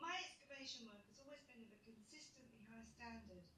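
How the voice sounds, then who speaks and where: A woman playing a proud, stubborn archaeologist in an audio roleplay, with emphatic, expressive delivery. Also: brisk. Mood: indignant. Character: Dune, proud, determined female archaeologist, stubborn and warm to friends. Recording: roomy, faint rumbling noise.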